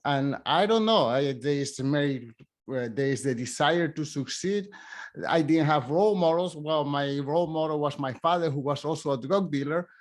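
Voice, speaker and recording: A middle-aged man with a Spanish accent, pitched a little high. He speaks haltingly, with lots of restarts and fillers. He laughs easily in casual interview chat. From Spanish-speaking country. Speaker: podcast host.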